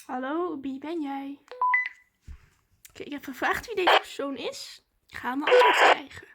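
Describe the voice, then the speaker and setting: A woman, chatting casually and excitedly to viewers as a vlogger. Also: high-pitched, unhurried, noisy background.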